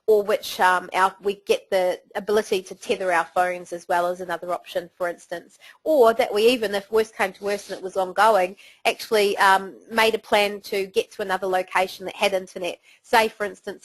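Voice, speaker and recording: A woman's voice: mid-range voice, rough recording. A middle-aged woman with a New Zealand accent presenting a business webinar, chatty and encouraging with frequent fillers.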